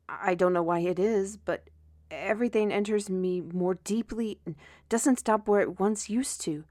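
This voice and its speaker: A woman's voice: mid-range voice, darkish tone, dry recording, clean studio sound, natural voice. A woman playing a troubled, self-reproaching young writer in an intimate audio drama, speaking in a hesitant, weary way. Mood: sorrowful, introspective.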